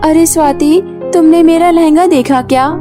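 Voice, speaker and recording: A woman's voice: high-pitched, steady tone, normal speed, over music, voice pushed up, lightly acted. A woman voicing a meek, kind young orphan girl in a cartoon, polite and timid. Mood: worried. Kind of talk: cartoon voice acting.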